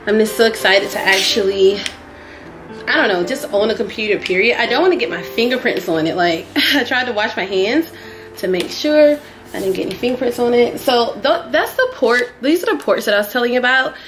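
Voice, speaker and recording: A young woman vlogging an unboxing in a small living room, chatty and excitable, a little sniffly from a cold. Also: a little high, a little fast, soft background music. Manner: chatty and excitable, addresses viewers as y'all. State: sniffly, getting over a cold. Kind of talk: casual unboxing vlog.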